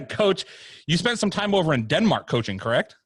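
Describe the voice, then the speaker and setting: A man's voice: a little high, very animated, normal speed, slightly rough, no room sound, clean studio sound. A man in his late thirties hosting a podcast, joking and teasing his guest in a casual chat. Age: 30s. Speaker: podcast host. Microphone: video call.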